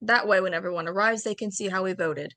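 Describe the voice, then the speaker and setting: A woman voicing a brisk, procedural female bear who keeps order at an animal council in a radio drama table read. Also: mid-range voice, a bit bright, no echo, lightly acted. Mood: officious.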